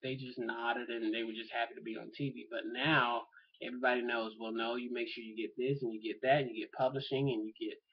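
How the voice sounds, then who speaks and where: A man's voice: mid-range voice, level tone, brisk, husky, dull audio. A man talking casually to camera in an opinion vlog, rambling and thinking aloud with lots of fillers. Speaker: vlogger.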